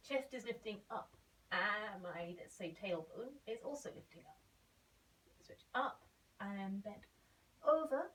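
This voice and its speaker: A woman teaching a belly dance warm-up while suffering from a cold, her voice hoarse and often slipping into a whisper as she calmly cues each move and counts the beats. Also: mid-range voice, measured pace, long pauses, slight background noise. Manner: calm step-by-step cueing, counting beats. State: has a cold, voice nearly gone. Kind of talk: instructing an exercise warm-up. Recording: voice a bit far from the mic.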